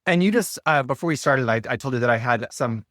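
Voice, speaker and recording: Measured podcast host, even-voiced man. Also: medium pitch, dry recording, clean studio sound. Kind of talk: scripted intro, then interview questions.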